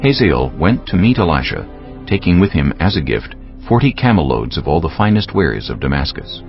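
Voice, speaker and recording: Very deep, gravelly male narrator. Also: very animated, normal speed, highs cut off, soft background music. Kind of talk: scripted scripture narration.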